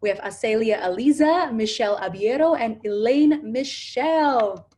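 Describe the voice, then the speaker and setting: A woman's voice: a little high, normal speed, darkish tone. A woman hosting a youth panel over a video call, upbeat and peppering her talk with "you know" and "right?". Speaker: host.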